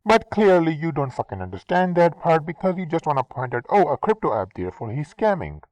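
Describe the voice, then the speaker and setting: A man's voice: a little high, very animated, a little fast, darkish tone, no echo, poor audio. Heated, swearing Pakistani man. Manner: heated, sarcastic, swears a lot. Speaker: commentary channel host. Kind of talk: angry response commentary.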